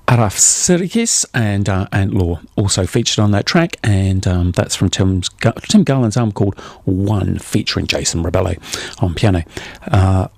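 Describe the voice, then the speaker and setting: A man's voice: lowish voice, darkish tone, no echo. A man hosting a jazz radio show in a studio, talking casually and rambling into humorous asides between tracks.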